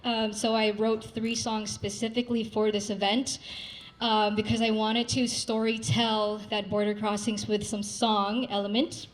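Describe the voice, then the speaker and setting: A woman's voice: mid-range voice, little pitch movement, a little slow, low-quality recording. A young woman from Saudi Arabia, a guest singer-songwriter, giving a gracious, earnest live introduction on stage at an art gallery event. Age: young adult. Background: faint guitar.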